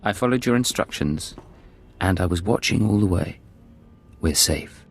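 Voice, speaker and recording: An actor playing a cool, professional undercover agent in a video game, curt and controlled. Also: male voice, deep voice, animated, normal speed, vocal fry, full character voice. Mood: measured.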